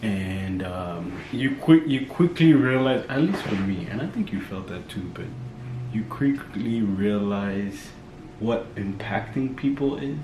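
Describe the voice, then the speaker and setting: A man with a fairly low voice tells a story in a relaxed, reflective way, as though sitting down with a partner. The room is echoey. Clear background noise runs under his voice. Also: a little slow. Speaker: YouTube vlogger. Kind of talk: casual chat.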